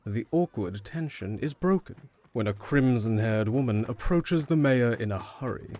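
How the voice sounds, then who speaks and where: A man's voice: mid-range voice, animated, moderate pace, darkish tone, lightly acted. A man reading scripted narration for an audio drama.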